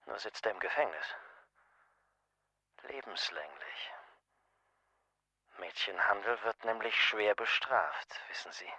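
An actor in a dubbed film thriller playing a shrewd small-time investigator who needles a powerful client with deliberate, pointed politeness. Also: male voice, fairly low pitch, animated, sharp tone, husky, no room sound, full character voice, rough recording. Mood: insinuating, confident.

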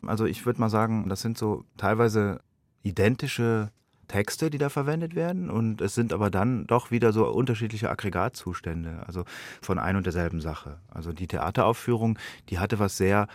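A man's voice: lowish voice, expressive, moderate pace, dry recording. A man speaking as an interview guest, explaining his work thoughtfully and often interrupting himself to rephrase. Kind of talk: reflective spoken interview. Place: radio studio.

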